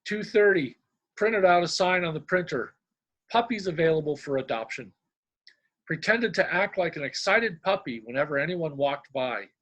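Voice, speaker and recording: A middle-aged man from Indiana, a humor columnist with a deadpan manner, sharing his work. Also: on the high side, moderate pace. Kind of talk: reading a humorous diary-style column, then casual talk.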